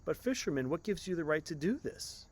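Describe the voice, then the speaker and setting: A man's voice: on the high side, sing-song, brisk, husky, pitched above the performer's own voice. A man voicing a storybook prince, a pompous royal given to commands and anxious pleas. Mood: frightened, pleading. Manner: commanding, then worried and pleading. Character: pompous prince turning frightened, pleading man. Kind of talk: storybook character reading.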